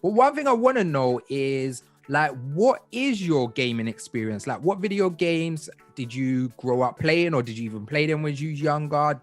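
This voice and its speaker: A man hosting a podcast, chatting casually with slang and enthusiasm. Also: medium pitch. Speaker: podcast host. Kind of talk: casual interview.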